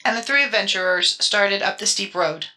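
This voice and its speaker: Bright-voiced woman narrating a storybook. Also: medium pitch, moderate pace, rough recording. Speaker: audiobook narrator. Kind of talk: dramatic scripted storybook narration.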